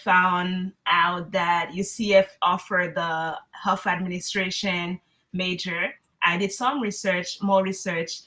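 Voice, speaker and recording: Woman, 20s, from Haiti; interview guest, candid and encouraging, bright tone. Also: fairly low pitch. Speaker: online student guest. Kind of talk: interview answers.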